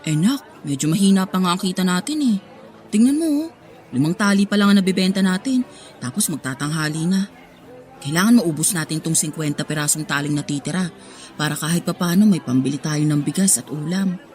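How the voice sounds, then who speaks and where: A woman's voice: lowish voice, a little fast, darkish tone, close to an everyday voice. A woman plays a hard-working market vendor and mother in a Tagalog radio drama. She pleads and scolds in an exasperated, motherly way, with faint music underneath. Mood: pleading.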